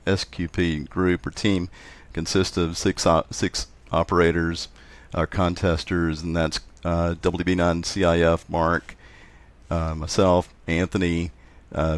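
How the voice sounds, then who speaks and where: A man chatting casually as an interview guest, halting often and repeating words as he goes. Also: low voice, no echo. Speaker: interview guest, contest organizer.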